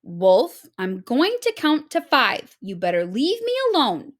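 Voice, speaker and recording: A woman's voice: a little high, wildly swooping pitch, a little slow, full character voice. A woman voicing a brave, self-important little girl who plays at being a superhero in a storybook. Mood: firm. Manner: bold, self-important, declaiming. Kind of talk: storybook read-aloud character.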